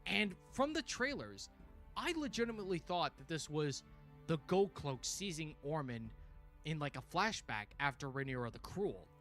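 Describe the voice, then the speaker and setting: A man with a fairly high, bright and forceful voice hosts an informal review stream over background music. He talks like an excited fan, casual and exclamatory, with a voice that is starting to tire. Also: normal speed. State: voice tiring, frequent throat clearing. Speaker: livestream host reviewing a TV series.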